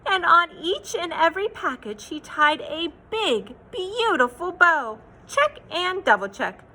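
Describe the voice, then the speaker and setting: A woman reading a children's picture book aloud in an expressive, playful, sing-song way, with asides to young listeners. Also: extremely high pitch, unhurried, rough recording. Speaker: children's read-aloud storyteller.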